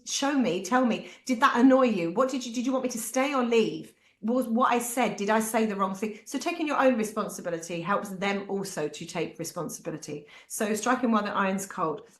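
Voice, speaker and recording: Animated British woman, echoey room. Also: on the high side. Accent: British English. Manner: animated, acts out quoted voices. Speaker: parent speaker. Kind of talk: candid talk to parents.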